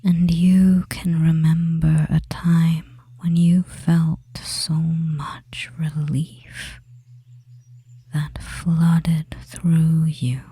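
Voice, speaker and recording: A devious, controlling male witch acted out by a performer murmurs in a hushed, breathy whisper. The delivery is extremely slow and very dark in tone, lulling the listener in a hypnotic ASMR roleplay with little rise or fall. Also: mid-range voice, heavily acted. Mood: coaxing, hypnotic. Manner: slow, soothing, hypnotic, pausing between short phrases.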